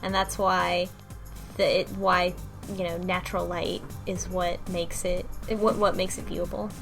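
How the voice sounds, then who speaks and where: A woman's voice: on the low side, flat delivery, unhurried, creaky. A woman co-hosting a casual group chat, recapping a visual novel story. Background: background music.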